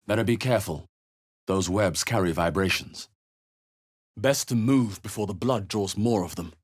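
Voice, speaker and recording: A man playing a wary, thoughtful fantasy adventurer in a video game, musing aloud in a measured, dramatic way. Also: fairly low pitch, breathy edge. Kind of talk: video game voice acting, short exploration remarks.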